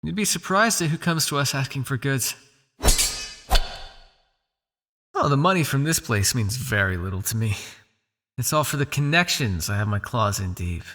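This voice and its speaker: A man's voice: mid-range voice, lively intonation, fairly quick. A man playing a smug, possessive vampire crime lord in an ASMR roleplay, with a taunting, silky, condescending delivery. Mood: smug, probing. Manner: taunting, silky, condescending with sighs and soft shushing.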